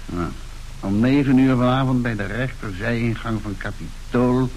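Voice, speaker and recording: A man's voice: mid-range voice, normal speed, darkish tone, lightly acted, low-quality recording. A man acting in a radio play as a seedy, cynical henchman and former tax official, dry and matter-of-fact. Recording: hissy old radio recording.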